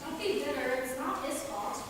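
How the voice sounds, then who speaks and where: A woman playing a caring, soothing female friend in a staged play reading, gently chiding and consoling. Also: high voice, lively intonation, normal speed. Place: theatre stage, distant microphone. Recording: very echoey hall from far off, noisy.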